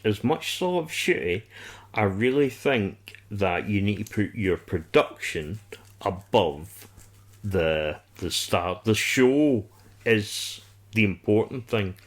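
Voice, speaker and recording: Low-pitched, slow-paced man arguing his view. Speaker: podcast co-host. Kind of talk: casual opinionated discussion of a TV series.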